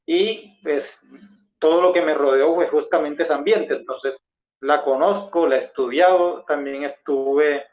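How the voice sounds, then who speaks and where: Higher-voiced Colombian man, dull lo-fi sound. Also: measured pace, darkish tone. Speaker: debate participant, former pastor. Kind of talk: expository debate talk. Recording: dull lo-fi audio.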